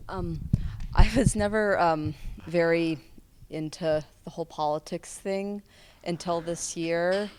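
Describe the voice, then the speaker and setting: A woman's voice: lowish voice, slow, darkish tone, smooth. A young woman in her late teens, a student actor answering casually and earnestly in an interview.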